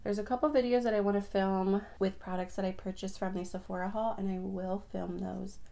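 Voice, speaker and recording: A young woman chatting casually through a makeup routine, her voice raspy from just waking up, rambling with lots of fillers. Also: medium pitch, level tone, fairly quick, warm tone. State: raspy morning voice. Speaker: beauty vlogger. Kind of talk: casual get-ready-with-me chat.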